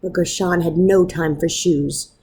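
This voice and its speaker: A woman reading a children's story aloud in an expressive, dramatic manner with measured pauses. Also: fairly low pitch, slightly rough. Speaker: storybook reader.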